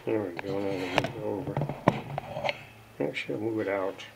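A man giving unscripted, casual narration of hands-on repair work, halting and muttering to himself as he goes. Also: lowish voice, gravelly, heavy background noise. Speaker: hobbyist radio repairer, video host. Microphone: camera mic near the workbench.

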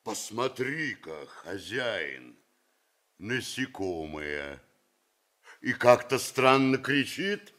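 A man's voice: mid-range voice, big pitch swings, extremely slow, a bit bright, exaggerated voice. A man playing a simple, curious giant peasant in a radio play, with a rustic, folksy drawl. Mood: amused wonder.

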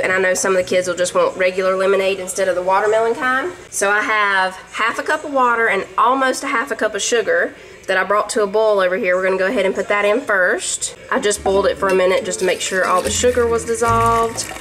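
A woman's voice: mid-range voice, brisk, a bit bright, poor audio. A woman hosting a home cooking video, chatting homey to her viewers as she goes. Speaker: home cook, channel host. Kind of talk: casual cook-along narration. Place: home kitchen. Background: background music and sizzling pan.

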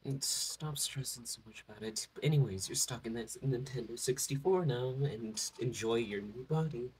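Very husky, offhand cartoon character, acted. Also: mid-range voice, normal speed, a little mellow. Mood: dismissive. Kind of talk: amateur cartoon fan dub.

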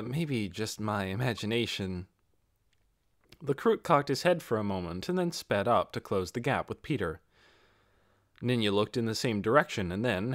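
Man reading fantasy with animated pitch. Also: dry recording, studio quality. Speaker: audiobook narrator. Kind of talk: scripted narration of a fantasy novel.

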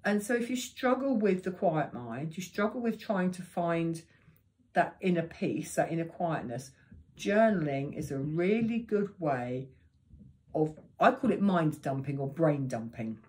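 Croaky older woman teaching meditation. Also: deep voice, animated, a little mellow. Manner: chatty and practical, unhurried with drawn-out words. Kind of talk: giving tips to camera. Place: living room indoors. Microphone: camera in front of her.

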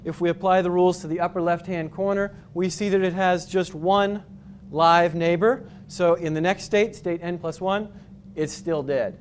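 A man from New England giving a conference talk with slides, explaining step by step with frequent pauses and restarts. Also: high voice, fairly even intonation, slight background noise. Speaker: conference speaker. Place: hotel conference room with projector screen.

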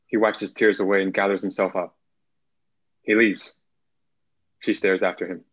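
Muffled low-voiced young man narrating. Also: flat delivery, moderate pace, fairly bright tone. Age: young adult. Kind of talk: reading screenplay stage directions. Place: indoor room, video call. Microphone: video call, computer mic. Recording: muffled video call audio.

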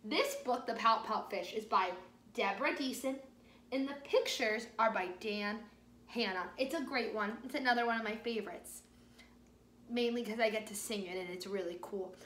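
A young woman telling stories to small children as a teacher, excited and expressive, with dramatic pauses. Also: a little high. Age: young adult. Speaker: teacher hosting a children's story time. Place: indoor living space at home. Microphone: phone or camera a few feet away. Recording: roomy home recording with faint noise.

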